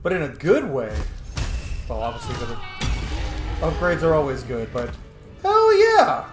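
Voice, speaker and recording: A man's voice: on the high side, huge pitch swings, measured pace, echoey room, over music. A man giving casual, playful, sweary commentary as he plays a video game. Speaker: gaming video host.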